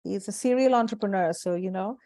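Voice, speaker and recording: A woman's voice: mid-range voice, brisk, mellow, no echo. A middle-aged woman speaking as an interview guest over a video call, thoughtful and full of fillers and restarts. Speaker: guest, executive director. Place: home room indoors. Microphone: earphone headset on video call.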